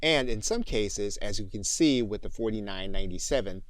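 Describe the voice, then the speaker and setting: A man giving a methodical, clearly enunciated software tutorial as an instructor. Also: fairly low pitch, lively intonation, normal speed, background noise. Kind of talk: scripted tutorial narration.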